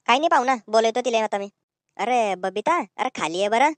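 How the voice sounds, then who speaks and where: Woman voicing young cartoon village man, cheeky, suspicious, rapid talker, rural Ahirani accent, dry sound. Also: a little high, expressive, no room sound, natural voice. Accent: Ahirani, rural north Maharashtra. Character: cheeky young unmarried village brother-in-law, suspicious, quick-tongued. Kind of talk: comedy cartoon dialogue.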